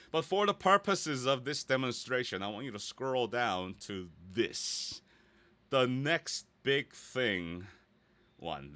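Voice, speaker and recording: A man's voice: medium pitch, bright, forceful tone, no room sound. A man teaching a casual live screen-share tutorial, chatty and playful, often checking in with his listeners. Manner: chatty, playful sound effects, checks in with "right?". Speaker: instructor, presenter.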